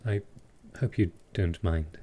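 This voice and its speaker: A man voicing a gentle, courtly vampire lord in a roleplay audio drama, speaking softly and formally with halting care. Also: sits low, slight background noise. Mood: courtly, reassuring. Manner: soft, slow, formal, halting. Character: gentle old-world male vampire lord, protective and courtly.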